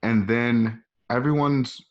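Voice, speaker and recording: A man's voice: mid-range voice, steady tone, takes it slowly, muffled recording. A man narrating scene directions informally, with casual conversational asides. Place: at home.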